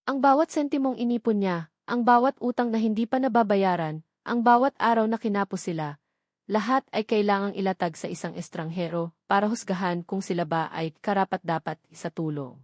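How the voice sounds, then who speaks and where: A woman's voice: mid-range voice, fairly quick, darkish tone, dry recording, studio quality. A woman reading scripted, dramatic narration of a love story.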